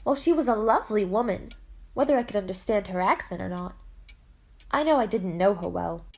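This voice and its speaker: Woman playing polite mother, protective, mid-pitched, animated intonation, amateur puppet-show mystery. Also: brisk, lightly acted.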